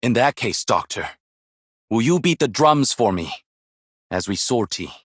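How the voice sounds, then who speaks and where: An actor playing a wise, weary, ageless martial arts master in a game, with measured, formal, poetic delivery and a husky voice. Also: male voice, mid-range voice, very animated, no room sound, studio quality. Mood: resolute, commanding. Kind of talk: scripted game character voice lines, solemn and poetic.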